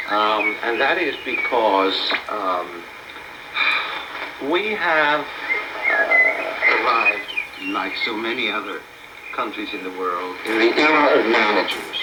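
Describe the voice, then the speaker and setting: A man's voice: fairly low pitch, echoey room, very noisy. A man being interviewed on a shortwave radio broadcast, choosing his words carefully with hesitations and formal phrasing. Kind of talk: conversational interview.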